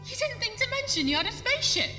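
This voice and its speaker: A woman playing a plucky, bewildered companion in an audio drama, with an incredulous, expressive delivery. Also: extremely high pitch, fast, faint music behind, full character voice. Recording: echoey room sound.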